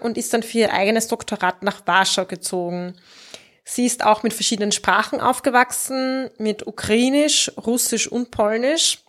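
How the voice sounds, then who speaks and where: A woman discussing books as a host, explaining things in a reflective, informal and conversational way. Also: mid-range voice.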